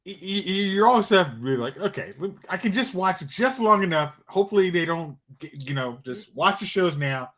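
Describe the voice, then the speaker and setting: A man's voice: high voice, expressive, normal speed, hardly any pauses, a bit bright. A man co-hosting a podcast, chatting casually about TV shows in a conversational way. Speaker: podcast co-host.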